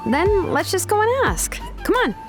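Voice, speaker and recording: A woman's voice: very high-pitched, big pitch swings, moderate pace, music in the background. An actress voicing a laid-back, practical young woman in an anime video game, explaining things casually with small hesitations. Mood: easygoing, curious.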